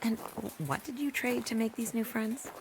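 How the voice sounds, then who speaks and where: A woman's voice: on the high side, fairly even intonation, moderate pace, background noise, close to an everyday voice. An actress in an audio drama playing a wary, intense, driven rural woman doctor. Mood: wary, tense.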